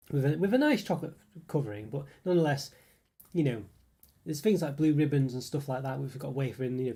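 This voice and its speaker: Man, congested, rambling and hedging, fast talker, dark warm tone. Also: medium pitch. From United Kingdom. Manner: rambling, hedging, many fillers. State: congested from a cold. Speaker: YouTube food reviewer. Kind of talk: casual live-stream review.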